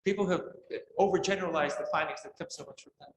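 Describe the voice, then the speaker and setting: A man in an unscripted academic discussion, thinking aloud in a halting way as a conference participant. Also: high voice. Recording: roomy, clearly noisy live hall recording.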